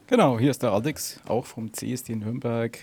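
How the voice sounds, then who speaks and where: A man's voice: mid-range voice, normal speed, darkish tone, breathy edge. A man from an event team giving an informal spoken briefing. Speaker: parade demonstration team member.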